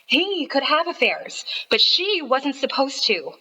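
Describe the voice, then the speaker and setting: A catty young dame of old-time radio drama, melodramatic and gushing. Her voice is fairly high, bright and very animated. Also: a little slow, heavily acted, rough recording. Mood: bitter, catty.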